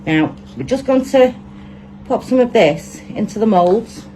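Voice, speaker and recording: A woman's voice: a little high, expressive, measured pace, dark tone, a little hiss or hum. A woman with a Manchester accent, chatting and thinking aloud as she demonstrates a resin craft project at her table. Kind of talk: casual hands-on tutorial.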